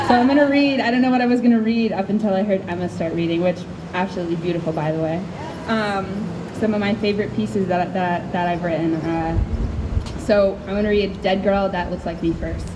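A young woman from Chicago with an American accent, a poet at a live spoken word reading, candid and informal as she projects into a stand microphone. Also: mid-range voice. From Chicago, USA. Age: young adult. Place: outdoors under a canopy tent. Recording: clear background noise, outdoor event ambience.

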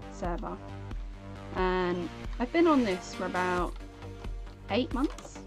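Unhurried woman streaming a game. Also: lowish voice. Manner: chatty, rambling, thanks viewers by name. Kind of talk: casual live-stream chat. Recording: game music playing underneath.

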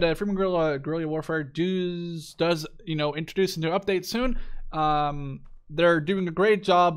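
Higher-pitched young man doing game commentary. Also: a bit bright. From Netherlands. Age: 20s. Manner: rambling, repeats himself, swears casually. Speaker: gaming video host. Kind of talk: casual let's play commentary.

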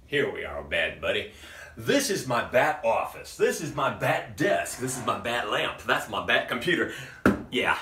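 A man's voice: mid-range voice, sing-song, a little slow, pushed and bright, roomy sound, far from the mic, slight background noise. Campy middle-aged man hosting a vlog. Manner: campy, theatrical, kids'-show-host tone, chuckles often. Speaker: costume maker and vlog host. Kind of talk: campy playful vlog addressed to viewers. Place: home office full of props.

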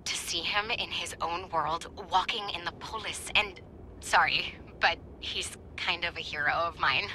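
Very bright, bookish historian. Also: female voice, fairly low pitch, measured pace, slight background noise, rough recording. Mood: earnest, admiring. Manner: eager, earnest. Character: enthusiastic, bookish young woman historian, earnest and starstruck. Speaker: game character, historian. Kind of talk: video game cutscene acting.

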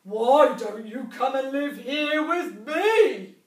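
Lady doing a big growly booming bear from a storybook, friendly invite, echoey room. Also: lively intonation, slow. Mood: booming welcome. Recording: roomy classroom, distant mic.

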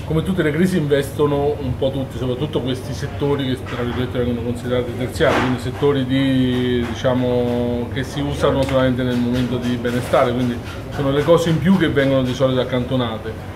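Brief: man in his 40s from Umbria, chef, earnest and detailed, medium pitch, kitchen clatter behind. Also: level tone, brisk, background noise. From Umbria, Italy. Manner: earnest, detailed, with frequent fillers and restarts. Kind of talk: on-camera interview. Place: busy restaurant kitchen during service.

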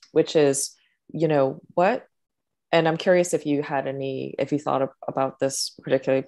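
A woman's voice: very deep voice, creaky, a little mellow. A woman interviewing an artist on a video call, encouraging and curious, with many small affirmations. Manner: encouraging, frequent backchannel. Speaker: museum interviewer.

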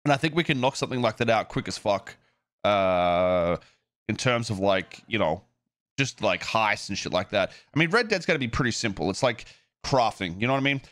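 A man's voice: on the low side, animated, moderate pace, fairly bright tone, no echo. A man talking casually and off the cuff on a stream, swearing freely and thinking aloud, like a game developer chatting to viewers.

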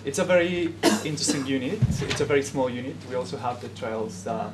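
A young man with a Peruvian Spanish accent addresses a class, pausing often on "um" as he explains. His voice sits at an ordinary pitch. Steady noise runs underneath the classroom recording. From Peru. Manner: halting, with frequent "um" pauses. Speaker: guest speaker. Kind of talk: informal talk to a class. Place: classroom, indoors. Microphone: camera in the room.

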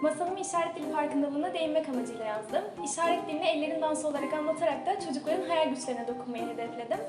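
A young woman, a student author, answering interview questions earnestly, pausing often to find her words. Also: sits high, over loud music. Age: young adult. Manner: earnest, quick, with frequent hesitations.

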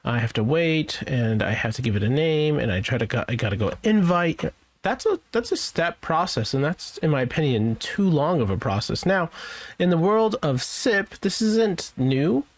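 A man giving a casual, unscripted software walkthrough as a tech presenter, rambling and thinking aloud. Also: fairly high pitch, sing-song, moderate pace, dry recording.

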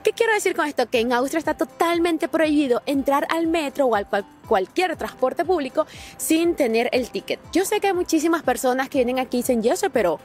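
A young woman vlogging outdoors in a park, talking straight to her viewers in a lively, chatty way about rules for visitors. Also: sits high, fairly quick, soft background music. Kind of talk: advice vlog to camera. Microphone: small handheld clip mic.

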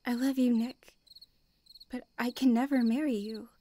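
High-voiced anxious young wife played by an actress. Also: female voice, fairly even intonation, normal speed, warm tone, a little hiss or hum, natural voice. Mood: worried. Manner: earnest, fretful. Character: anxious young wife terrified of death and God, narrating her life. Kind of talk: radio drama acting and narration.

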